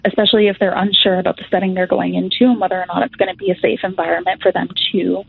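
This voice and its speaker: A woman's voice: on the low side, fairly even intonation, very fast, vocal fry, breathy edge, dry recording. A young woman music therapist talks through her work in an easygoing way, her speech full of small fillers. The sound is thin and tinny, like a phone line, and the voice is dry and close, with no room around it. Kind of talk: interview guest, casual explanation.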